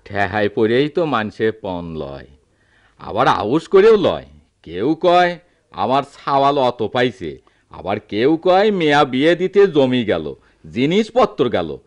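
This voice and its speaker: A man's voice: mid-range voice, wildly swooping pitch, slow. A man playing a resigned elderly villager in a radio drama, lamenting in a folksy rural Bangladeshi dialect. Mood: rueful, resigned. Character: resigned, plain-spoken elderly village father.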